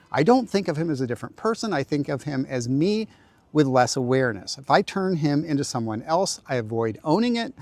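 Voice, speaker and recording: A man's voice: on the high side, a little mellow, no echo. Dry-sounding man, reflective monologue. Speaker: video creator speaking to viewers. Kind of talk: reflective direct-to-viewer monologue. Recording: dry close voice with faint music. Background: faint music.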